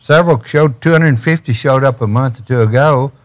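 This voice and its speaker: An older man speaks at a studio desk as a guest, his voice sitting at a middle pitch. He tells stories with folksy turns of phrase. He builds toward pointed, urgent questions. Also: fairly quick. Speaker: ministry guest. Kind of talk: storytelling in a studio interview. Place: TV studio set.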